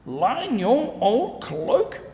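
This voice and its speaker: A man voicing a greedy, gloating old farmer from a storybook, with drawn-out, emphatic exclamations. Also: extremely high pitch, pitched above the performer's own voice, heavily acted. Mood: indignant. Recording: echoey room, long reverb, steady background noise.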